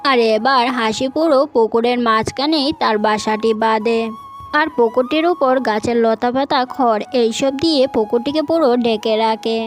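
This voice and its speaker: High-voiced woman narrating a children's story. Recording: music bed behind the voice.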